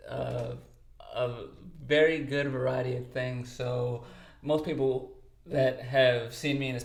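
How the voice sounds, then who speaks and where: A man's voice: mid-range voice, vocal fry, roomy sound. A man in his twenties from Texas, co-host of a podcast, talking casually in an interview, easygoing and talkative with lots of fillers. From Texas, United States. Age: 20s.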